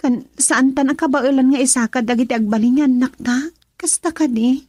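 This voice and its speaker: An emotional, pleading young girlfriend, higher-pitched. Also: normal speed, dark tone, no room sound, studio quality. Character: young woman, frustrated girlfriend longing for marriage and children. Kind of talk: radio drama acting in Ilocano.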